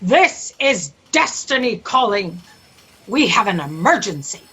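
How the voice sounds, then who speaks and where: Woman doing a bossy self-important lady ruler, slow and dramatic, swoops all over, sci-fi comedy. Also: mid-range voice, very animated, a bit bright, breathy edge, exaggerated voice. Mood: exasperated. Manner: haughty, dramatic, drawn-out delivery. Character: imperious, self-absorbed female boss called Destiny. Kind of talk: comic sci-fi audio drama acting.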